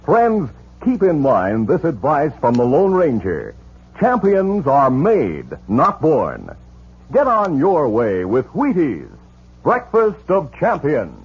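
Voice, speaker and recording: A male 1950s radio announcer with a dramatic sales pitch delivery. His fairly high voice sweeps very widely in pitch, on a muffled recording. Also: wildly swooping pitch, slow, slight background noise.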